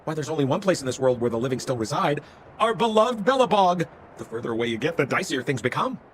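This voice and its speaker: A man's voice: on the high side, very animated, fast talker, slight background noise. A man voicing a slick, theatrical male con-man relic dealer in a video game, with glib, wheedling salesman patter. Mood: glib, confiding.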